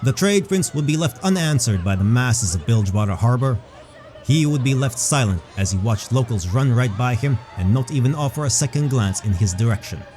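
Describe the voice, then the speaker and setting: A man reads scripted fantasy narration. His voice is mid-pitched, dark and mellow, and he describes things in a measured storyteller's way. Faint music plays behind him. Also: expressive.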